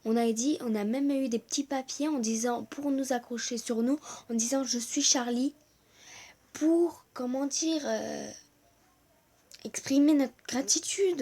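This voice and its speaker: A teenage girl from France speaks straight to her viewers in a home video. Her voice sits a little high and she talks earnestly, stumbling through fillers and restarts as she searches for words. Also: unhurried, dark tone. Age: teens. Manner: hesitant, many fillers and restarts; earnest, growing indignant. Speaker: vlogger. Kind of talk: personal video message.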